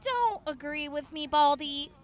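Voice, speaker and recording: A woman's voice: sits high, lively intonation, unhurried, soft background music. A woman acting in an audio drama as a blunt, sardonic, reluctant field medic with a wry, casual and hesitant manner. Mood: reluctant, irritated.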